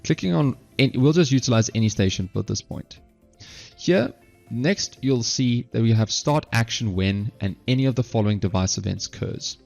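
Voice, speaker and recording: A man narrating an instructional software screencast step by step, halting at times and correcting himself. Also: medium pitch, animated, creaky, highs cut off, faint music behind. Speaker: software tutorial presenter.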